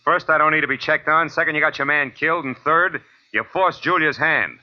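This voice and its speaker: An actor playing a hard-boiled, sardonic private detective in a clipped 1940s radio drama style. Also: male voice, a little high, a little fast, natural voice. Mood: blunt, needling. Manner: clipped, sardonic tough-guy delivery. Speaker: lead actor and narrator. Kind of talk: 1940s radio detective acting, first-person narration. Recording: dull old radio recording.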